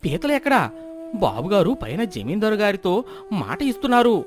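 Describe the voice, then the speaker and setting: Man acting a fretful, high-pitched castle cook. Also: wildly swooping pitch, brisk. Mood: panicked. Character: flustered, deferential castle cook, nervous servant. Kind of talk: Telugu moral story cartoon dialogue.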